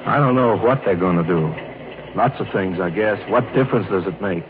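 An actor playing a wounded young Navy lieutenant, earnest and halting, in a 1940s radio drama. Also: male voice, lowish voice, phone-quality sound, close to an everyday voice. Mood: dejected. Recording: thin, noisy old radio recording.

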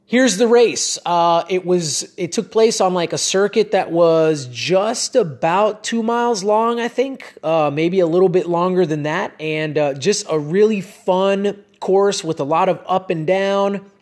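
A man's voice: high voice, a little slow, hardly any pauses, darkish tone. A man in his late thirties hosting a cycling podcast, talking in a casual, earnest, rambling way with many restarts and fillers. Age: 30s. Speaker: cycling podcast host and coach. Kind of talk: casual solo podcast monologue.

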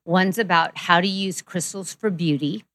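Rambling lower-voiced woman guest. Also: fairly even intonation, moderate pace, a bit bright. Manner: rambling, trails off with fillers. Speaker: guest, brand co-founder. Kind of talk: casual podcast chat.

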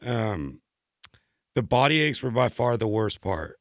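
Hoarse young American man, dry close sound. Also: mid-range voice, big pitch swings, unhurried, dry recording. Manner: rambling, slangy. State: hoarse, recovering from illness. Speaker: podcast co-host. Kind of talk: casual chat.

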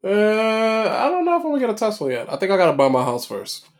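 A man's voice: high voice, huge pitch swings, quick pace. A man in casual group chat, dropping in short, slangy remarks.

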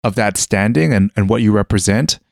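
A young man with a fairly low voice muses aloud in a relaxed conversation. He often restarts sentences and leans on "like" as he gropes for his point. The sound is dry and close to the mic. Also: brisk, gravelly, no room sound. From Western world (Canada). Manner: hesitant, many restarts and "like" fillers, thinking aloud. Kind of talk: casual conversation.

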